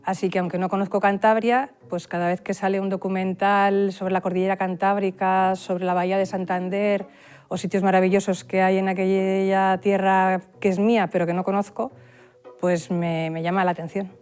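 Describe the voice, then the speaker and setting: A woman's voice: medium pitch, flat delivery, moderate pace, a little mellow, clean tone, faint music behind. Wistful Spanish woman. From Spain. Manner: wistful, fond. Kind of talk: documentary interview testimony.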